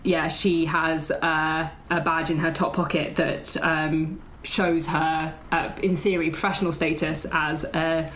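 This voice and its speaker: A woman's voice: sits low, flat delivery, unhurried, hardly any pauses, a bit bright, slight background noise. A woman player casually improvising a description of her character for a tabletop roleplay game.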